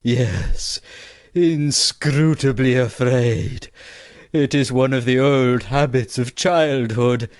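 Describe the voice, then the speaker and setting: An actor playing an earnest, excitable male suitor in a comic parody play, fussy, exclamatory and melodramatic. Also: medium pitch, slow pace, no echo, clean studio sound, close to an everyday voice. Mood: fearful, solemn. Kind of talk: comic stage-play acting.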